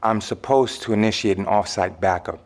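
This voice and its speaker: An actor playing a cagey, unflappable middle-aged man with secret knowledge, speaking in a measured, dry audio drama delivery. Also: on the low side, darkish tone, natural voice. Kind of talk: naturalistic audio drama acting.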